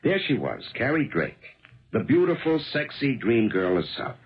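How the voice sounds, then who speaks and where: A slightly muffled old radio recording features an actor as a hard-working detective husband. His pitch swings very widely as he explains things in a confident, emphatic, colloquial 1970s radio drama manner. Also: big pitch swings, moderate pace, close to an everyday voice. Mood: confident, explaining.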